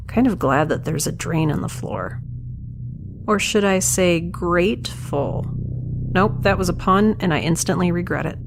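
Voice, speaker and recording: A woman's voice: fairly low pitch, gravelly, slight background noise. A young woman playing a wry, stranded space scientist, rambling self-deprecatingly through a first-person log in an audio drama. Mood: queasy, groggy.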